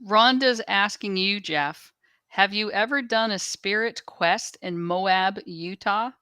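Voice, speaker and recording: A woman's voice: medium pitch, slow, bright, forceful tone, clear, resonant tone, dry recording, studio quality. A woman assisting on a show, reading viewers' messages aloud.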